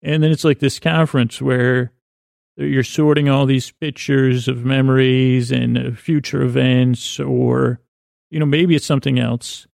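Creaky-voiced, rambling man. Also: mid-range voice, level tone, darkish tone, no room sound, studio quality. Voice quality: mumbling. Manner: meandering, halting, stammering and repeating words; silly, self-deprecating. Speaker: podcast host. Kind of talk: rambling, whimsical bedtime monologue.

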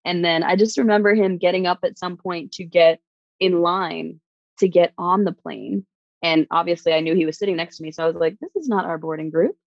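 A woman from New York who performs on stage tells her story with a fairly low voice. She acts out her own past thoughts animatedly, and the close, dry recording sounds slightly dull. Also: brisk, gravelly, no room sound. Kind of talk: casual personal storytelling.

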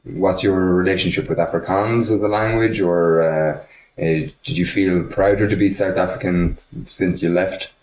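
A man's voice: low voice, fairly even intonation, moderate pace, a little mellow, roomy sound, far from the mic, rough recording. An Irish man hosting a podcast, asking his guest rambling, curious questions. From Ireland. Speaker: podcast host. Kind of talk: casual podcast conversation.

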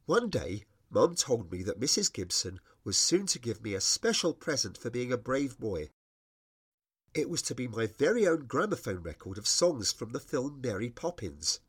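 A middle-aged British man reading his own scripted, humorous memoir in a wry, expressive storytelling manner. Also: medium pitch, normal speed, slightly rough. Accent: British English. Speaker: writer reading own personal essay.

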